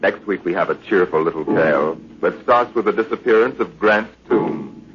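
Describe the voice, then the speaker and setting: A man's voice: low voice, normal speed. A man narrating as host of a 1950s radio show, in a scripted announcer style. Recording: muffled, noisy old radio recording.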